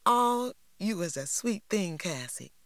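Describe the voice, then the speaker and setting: A reader voicing a proud, bossy teenage girl, talking down in a prim, high voice. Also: very animated, slow, fairly bright tone, no echo, exaggerated voice. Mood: haughty.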